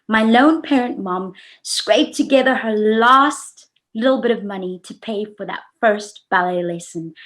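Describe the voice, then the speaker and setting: A woman in her forties giving a prepared personal talk, measured and reflective. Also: mid-range voice, fairly bright tone. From South Africa. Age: 40s. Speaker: speaker. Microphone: video call.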